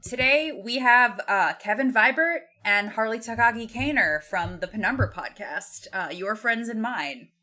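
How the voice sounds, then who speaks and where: A woman's voice: fairly high pitch, animated, measured pace, few pauses, pushed and bright. A woman co-hosting a podcast, chatting casually in a playful, giggly manner. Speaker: podcast co-host. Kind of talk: casual chat.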